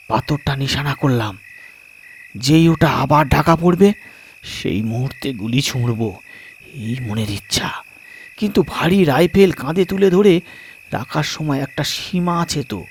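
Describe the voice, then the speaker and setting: A man narrating a scripted suspense story dramatically, in measured short phrases with pauses. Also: medium pitch, a little hiss or hum. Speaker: storyteller, narrator of audio stories.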